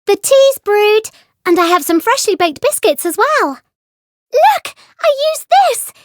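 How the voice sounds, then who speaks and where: Very high, chirpy little girl. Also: very animated, a little slow, dry recording, studio quality, full character voice. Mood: bright, proud. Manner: chirpy, eager. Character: perky, precocious little British girl inventor. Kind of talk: video game voice acting.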